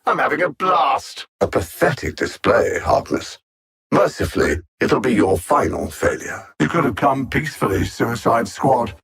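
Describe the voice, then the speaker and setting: Game supervillain, alien super-intellect, pompous, sardonic menace, roughened husky tone, highly animated intonation, formal diction. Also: male voice, normal speed, heavily acted. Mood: smug contempt. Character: haughty alien machine-intellect supervillain conqueror, coldly mocking. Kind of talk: scripted video game villain dialogue.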